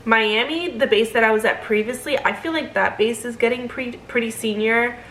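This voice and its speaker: A woman's voice: a little high, moderate pace, fairly bright tone, echoey room, slight background noise, rough recording. A young woman, a flight attendant, chatting casually to camera with a stuffy nose as she gets over being sick, with lots of fillers and restarts. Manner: chatty, filler-heavy, self-correcting. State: stuffy, getting over illness.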